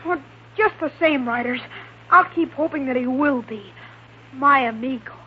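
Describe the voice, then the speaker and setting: A woman's voice: high-pitched, normal speed, a little hiss or hum, natural voice. A woman playing an earnest, dreamy, stubborn young cowboy boy with an American accent in an old radio western. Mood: hopeful. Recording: muffled vintage radio, loud music behind.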